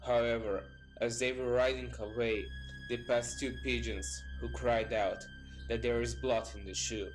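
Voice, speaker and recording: A man's voice: medium pitch, fairly even intonation, a little slow, poor audio. A man reading scripted, documentary-style narration as a storyteller. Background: background music.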